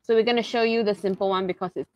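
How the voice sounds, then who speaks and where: A woman's voice: medium pitch, fairly even intonation, very fast. A woman co-hosting from behind the camera in a kitchen, upbeat and giggly, relaying viewer questions. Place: home kitchen, indoors. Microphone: camera mic, behind the camera.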